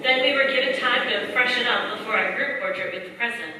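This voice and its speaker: Actress as bright-voiced, echoey wartime heroine narrator. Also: female voice, a little high, fast talker. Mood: composed, recounting. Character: earnest wartime heroine narrating her own adventure. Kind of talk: dramatic scripted first-person radio play narration. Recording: echoey stage, distant mic, faint noise.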